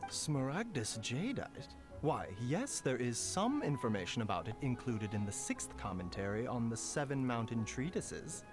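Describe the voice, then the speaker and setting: A man's voice: mid-range voice, very animated, moderate pace, music in the background, lightly acted. Casting: male actor as a polite, knowing apothecary for a fantasy game; mid-range, swooping delivery, genteel and wordy. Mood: explaining. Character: suave, courteous, knowing male pharmacist doctor. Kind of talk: scripted game dialogue.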